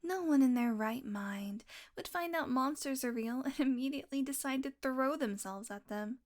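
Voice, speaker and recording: A woman playing a sardonic, teasing, predatory vampire with a soft spot, in an intimate, sweary audio roleplay monologue that often drops to a murmur. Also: sits high, normal speed, close to an everyday voice. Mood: wry, musing.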